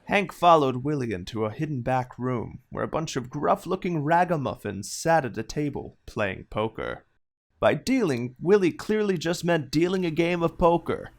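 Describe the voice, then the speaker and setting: A man's voice: on the high side, animated, normal speed. A man as the storytelling narrator of a detective comedy, reading scripted comic narration. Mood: narrating.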